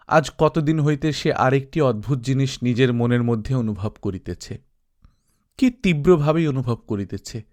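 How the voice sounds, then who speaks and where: A man narrating a literary story as an audiobook, reading expressively and reflectively with dramatic pauses. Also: medium pitch, a little mellow.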